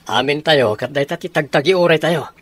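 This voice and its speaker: A man's voice: a little high, brisk, breathy edge. A man with an Ilocano accent acting an earnest soldier in a radio drama. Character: earnest soldier abroad confiding in his buddy.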